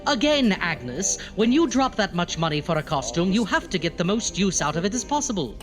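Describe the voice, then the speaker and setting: A man's voice: high voice, sing-song, a little fast. A man playing a pompous, exasperated doctor who hosts a campy horror comedy show, speaking in dramatic, alliterative flourishes. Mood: indignant. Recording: music bed behind voice.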